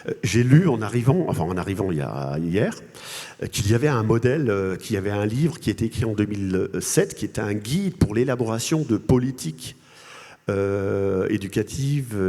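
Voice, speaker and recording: A man from Alsace, a native Alsatian speaker, making his case as a panel guest in a halting, repetitive way. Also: medium pitch, mellow. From Alsace, France. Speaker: guest speaker, language association president. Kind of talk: panel interview, advocating argument with anecdotes. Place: congress hall. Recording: echoey large hall.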